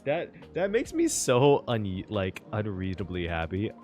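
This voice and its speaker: Male reaction streamer, casual and amused, expressive swings in pitch, game music faintly underneath. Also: a little slow. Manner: amused, informal musing.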